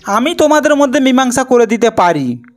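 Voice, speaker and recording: Man voicing a high-voiced bold young hero. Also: sing-song, brisk. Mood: curious, crafty. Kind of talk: Bengali fairy-tale cartoon acting.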